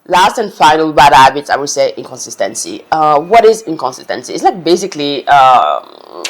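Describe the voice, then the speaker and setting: A woman's voice: low voice, sing-song, normal speed, a little hiss or hum. Chatty woman vlogger. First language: French. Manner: chatty and earnest, loose non-native phrasing. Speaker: language-learning vlogger. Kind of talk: casual advice talk to camera.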